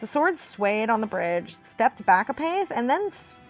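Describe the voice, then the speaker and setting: A ditzy blonde girl, put on by a woman, reads an epic fantasy storybook aloud. Her voice is high and swoops widely, turning each sentence into an airy question. Faint music sits underneath. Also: very animated, unhurried. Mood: excited, dramatic.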